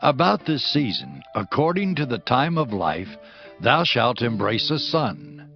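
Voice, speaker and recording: A man's voice: mid-range voice, big pitch swings, unhurried, lo-fi sound, soft background music. An actor playing a solemn, commanding male prophet in a dramatized scripture recording, speaking in formal, declamatory phrases. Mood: solemn, kindly. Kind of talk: dramatized scripture audio acting.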